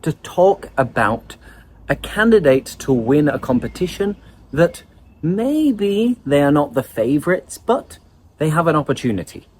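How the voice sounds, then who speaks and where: A man teaching English vocabulary in an online lesson, explaining words in a lively way. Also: on the high side, a little slow, husky.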